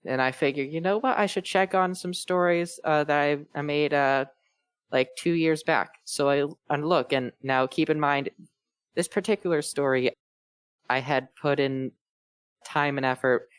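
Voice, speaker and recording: A man's voice: fairly high pitch, a little slow, clean tone, no echo. A man chatting casually as a panelist, sharing his experience in an earnest, phrase-by-phrase way. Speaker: guest panelist.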